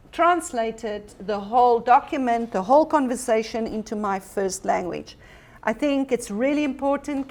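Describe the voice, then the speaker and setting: A woman's voice: fairly high pitch, a little mellow. A woman whose first language is Afrikaans, giving an earnest, encouraging workshop presentation about teaching technology, with frequent ums.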